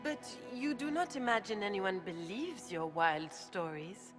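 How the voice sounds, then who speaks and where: An actress playing a haughty, scheming Grand Duchess in a video game, aristocratic and theatrical. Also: female voice, medium pitch, animated, a little slow, faint music behind, lightly acted. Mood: feigned poise. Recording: long hall reverb.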